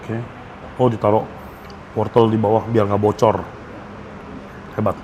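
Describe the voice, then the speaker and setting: A man's voice: on the low side, little pitch movement, unhurried, a little mellow. Casual man reviewing food, noisy ambience. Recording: noticeable background ambience noise.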